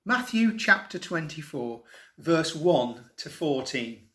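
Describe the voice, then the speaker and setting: Slow, slightly high-voiced man reading scripture. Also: very animated, bright, forceful tone. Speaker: church member reading scripture. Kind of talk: Bible reading aloud.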